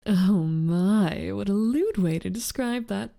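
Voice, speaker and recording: A young woman playing a sly, teasing rival succubus in an ASMR audio roleplay, with a breathy, drawling, taunting delivery. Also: medium pitch, animated, slow, warm tone. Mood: amused. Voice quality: breathy, half-whispered in places.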